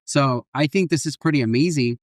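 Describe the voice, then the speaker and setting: A man hosting a casual podcast, musing aloud and rephrasing what he hears in an animated way. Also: a little high, fairly quick, dry recording, studio quality. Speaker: podcast host.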